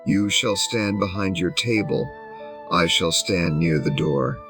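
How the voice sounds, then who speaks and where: A man voicing a smug, patronising English gentleman of sixty-one in an audio drama, pompous and effusive in his phrasing. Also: sits low, a little slow, over music. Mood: smoothly patronising. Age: older. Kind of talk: audio drama character dialogue.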